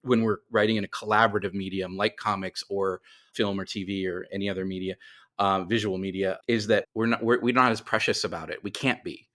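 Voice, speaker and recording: A man's voice: fairly low pitch, fairly even intonation, brisk, fairly bright tone. A middle-aged man speaking as a podcast guest, telling his story conversationally and often doubling back on himself as he explains.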